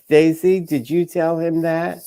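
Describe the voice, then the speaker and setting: A man's voice: fairly high pitch, little pitch movement, unhurried, dark tone, smooth. Argumentative American man on a panel show. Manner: argumentative, insistent, repeats himself. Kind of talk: heated panel debate and banter.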